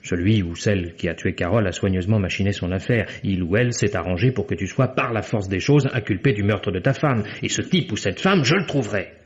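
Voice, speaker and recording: An actor playing a loyal, earnest young lawyer in an insistent, theatrical 1950s French radio drama style. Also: male voice, lowish voice, brisk, hardly any pauses, slightly rough. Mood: urgent, pleading. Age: young adult. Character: loyal, earnest young American lawyer defending his best friend. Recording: dull vintage radio recording.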